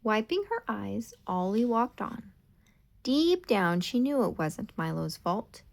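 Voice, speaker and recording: Animated woman reading a children's storybook. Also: medium pitch, takes it slowly, gravelly. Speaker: storybook reader. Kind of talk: expressive scripted children's storybook narration.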